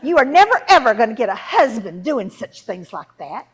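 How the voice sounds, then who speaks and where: A pushy, exasperated storybook mother, acted out by a woman storyteller. The voice is high and swings sharply as she nags, hammering the same words again with heavy emphasis. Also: lively intonation, moderate pace, slightly rough, poor audio. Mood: angry scolding. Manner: emphatic, nagging, repetitive. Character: scolding, pushy spinner mother, middle-aged woman, exasperated.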